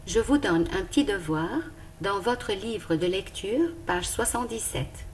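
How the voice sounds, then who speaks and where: A woman teacher, giving a careful, clearly spoken lesson to young pupils. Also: medium pitch, a little hiss or hum. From Lebanon. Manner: slow, careful and clearly articulated.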